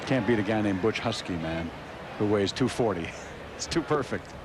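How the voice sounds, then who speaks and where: Male American baseball play-by-play announcer, lowish-key wry chat between clipped calls, heavy ballpark noise behind. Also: animated, normal speed. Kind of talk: live radio baseball commentary. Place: open-air ballpark broadcast booth. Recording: very noisy outdoor ballpark broadcast.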